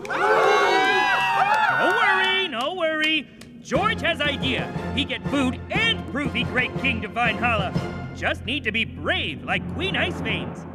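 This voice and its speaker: Young cartoon wild man, extremely high pitch, slow, broken caveman grammar, friendly, eager, dim-witted. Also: animated, over loud music, a loud crowd. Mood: determined.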